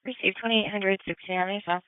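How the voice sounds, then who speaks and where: A woman's voice: mid-range voice, steady tone, fast, smooth, no room sound. A woman working as a police dispatcher, giving call updates over a two-way radio. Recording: narrow-band police scanner radio, dry.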